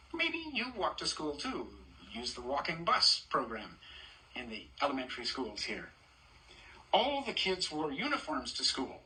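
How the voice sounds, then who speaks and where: Older male storybook reader, high-ish, very bright voice; chatty, asks the kids questions between pages. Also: low-quality recording. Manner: warm, chatty, stops to ask children questions and point at pictures. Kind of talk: reading a picture book aloud to children.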